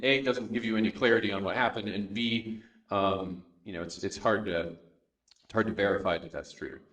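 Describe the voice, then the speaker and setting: A man from San Diego, a documentary producer, telling stories on a university panel in a relaxed way, with lots of ums and uhs. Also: medium pitch, reverberant, rough recording. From San Diego, United States. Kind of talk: storytelling on a discussion panel. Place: university event room.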